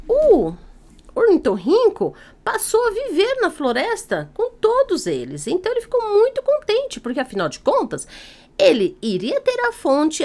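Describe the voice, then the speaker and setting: A woman with a Brazilian accent telling a children's story off the cuff, in a theatrical, teacherly way, checking in with young listeners. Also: very high voice. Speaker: children's storyteller and party entertainer.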